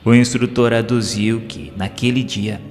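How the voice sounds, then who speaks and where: A man with a Brazilian accent reads a scripted audio novel as its narrator, in a solemn, formal and measured literary manner. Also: mid-range voice, steady tone. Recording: long hall reverb, music underneath. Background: background music.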